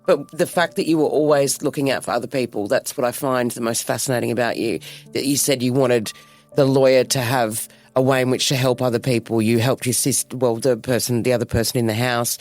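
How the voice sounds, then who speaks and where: A man's voice: medium pitch, fairly even intonation, a little fast, a little mellow, soft background music. Sincere male podcast co-host. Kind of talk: warm-toned interview remarks and scripted outro.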